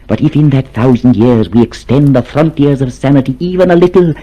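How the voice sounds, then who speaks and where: An actor playing a grave, commanding leader of a secret underground, solemn and deliberate, in an old radio drama. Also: male voice, medium pitch, dark tone, close to an everyday voice, poor audio.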